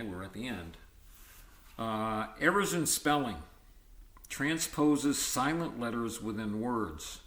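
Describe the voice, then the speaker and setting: A man with a fairly low voice reads educational material aloud at a very slow pace. He moves haltingly from item to item and adds informal comments of his own. The sound is roomy and echoey, like an amateur recording made at home. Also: fairly bright tone. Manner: halting list-like delivery with ad-libbed comments. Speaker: reader of an educational book. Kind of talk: reading a textbook aloud with informal asides.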